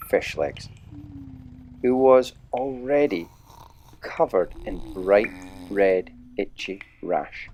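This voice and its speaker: Guy reading a kids adventure story, low and kinda gravelly, takes his time, goes all hushed for the scary bits. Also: a little hiss or hum. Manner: measured, dramatic storytelling with hushed pauses. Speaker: narrator. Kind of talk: audiobook-style storybook narration.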